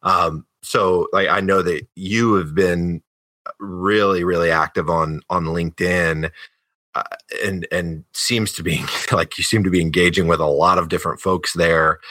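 A man's voice: low voice, fairly even intonation, unhurried, bright, forceful tone, dry recording. A man hosting a podcast, interviewing in a casual, conversational way. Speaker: podcast host.

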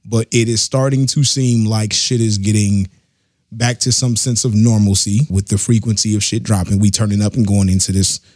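A man hosting a casual pop culture podcast, slangy and animated, laughing often. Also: fairly low pitch, moderate pace, no room sound, clean studio sound. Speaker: podcast host. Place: studio or home studio, live on stream.

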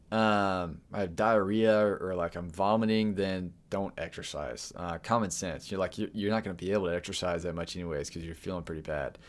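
A man with a very low voice hosts his own podcast. He talks loosely and informally, full of ums, uhs and false starts as he works through his points. He sounds like a young adult chatting off the cuff. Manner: rambling, frequent fillers and restarts. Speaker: podcast host. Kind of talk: casual solo podcast talk.

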